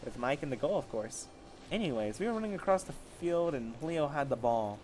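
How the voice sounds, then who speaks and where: A man's voice: medium pitch, lively intonation, a little fast, darkish tone, slight background noise. A man casually reading fan fiction aloud as an amateur story reader, stumbling over the text and correcting himself.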